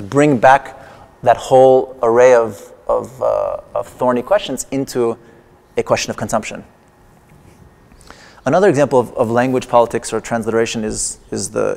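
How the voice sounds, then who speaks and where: Gravelly-voiced man lecturing in an echoing hall. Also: medium pitch, darkish tone. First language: Persian. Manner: wry, digressive, with playful humor. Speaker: artist giving a lecture performance. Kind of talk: lecture performance. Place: large exhibition hall in a museum. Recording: long echoing hall reverb.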